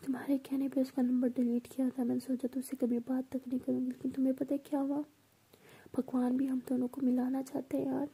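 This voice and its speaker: A woman's voice: sits high, little pitch movement, fast, mellow, slightly rough, heavily acted. A woman whispering the lines of a timid young woman who is secretly in love. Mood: desperate.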